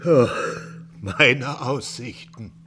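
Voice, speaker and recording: An actor playing a frail, anguished old father in a German radio drama, halting and plaintive. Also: medium pitch, a bit bright, faint music behind. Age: older.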